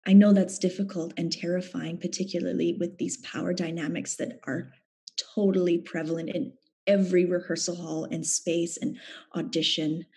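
A woman's voice: lowish voice, flat delivery, warm tone, breathy edge. A Canadian woman, a theatre actor, speaking thoughtfully as a guest in a reflective conversation. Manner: thoughtful, measured.

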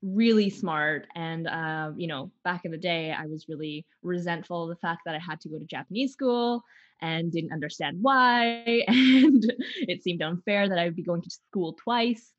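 A Canadian-born woman, a theater artist, speaking slowly and thoughtfully in an interview, often pausing and laughing lightly. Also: on the low side, highs cut off. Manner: slow, thoughtful, with frequent laughs and pauses. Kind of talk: conversational interview, opening with a guided imagined scene.

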